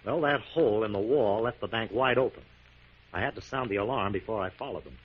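Low-voiced radio detective hero. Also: male voice, lively intonation, brisk, slightly rough. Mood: matter-of-fact. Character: composed, self-assured male detective, the crime-fighting hero. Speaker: actor. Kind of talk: 1940s radio drama acting. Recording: muffled, noisy 1940s radio recording.

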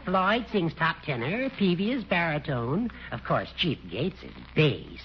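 Smug needling old judge, lively sing-song delivery, dull radio sound, 1940s radio comedy. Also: male voice, on the high side, a little slow. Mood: teasing. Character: sly teasing old judge, rival and tease. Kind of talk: 1940s radio sitcom acting. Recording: dull old radio broadcast with faint hiss.

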